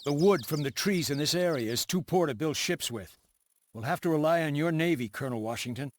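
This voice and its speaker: A man's voice: fairly high pitch, brisk, a little hiss or hum, natural voice. Man acting a grim frontier mercenary leader. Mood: somber. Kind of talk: dramatic video game cutscene acting. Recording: music behind the voice.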